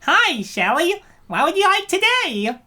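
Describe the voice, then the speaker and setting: Performer doing a high, friendly fast food server. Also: very animated, bright, forceful tone, exaggerated voice. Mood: cheery, welcoming. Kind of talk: amateur comic dub voice acting.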